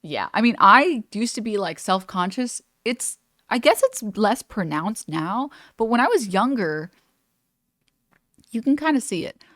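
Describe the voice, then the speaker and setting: A woman's voice: fairly high pitch, big pitch swings, unhurried, a bit bright, no room sound, studio quality. A young woman streaming, chatting casually with lots of "like" and "you know" as she meanders through her thoughts. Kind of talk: casual reaction stream commentary.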